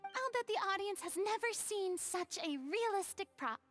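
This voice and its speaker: A woman's voice: very high voice, animated, moderate pace. A woman playing a proud but gracious young female stage star in a video game, poised and airy, slightly flustered. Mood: bashful, bluffing. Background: soft game music.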